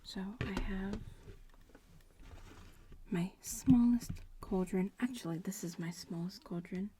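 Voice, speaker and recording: A woman voicing a young witch-in-training in an ASMR roleplay, murmuring and whispering with a creaky, breathy voice and a nonchalant drawl. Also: medium pitch, slow, mellow, a little hiss or hum, natural voice. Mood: nonchalant, explaining. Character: casual young witch-in-training, bratty schoolmate.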